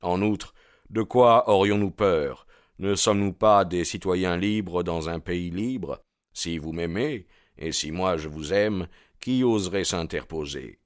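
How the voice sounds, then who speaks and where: A man's voice: low voice, slow, no room sound, clean studio sound. A man voicing a bold, ardent young man in an audiobook, proud and insistent in his delivery. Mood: resolute. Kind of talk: audiobook character dialogue.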